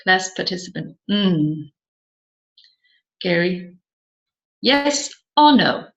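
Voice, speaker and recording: A woman's voice: on the low side, sing-song, very slow, fairly bright tone. A woman narrating a self-help audiobook, reading out seminar talk and question-and-answer exchanges. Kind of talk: read-aloud self-help seminar transcript with dialogue. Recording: roomy echo, slightly dull lo-fi sound.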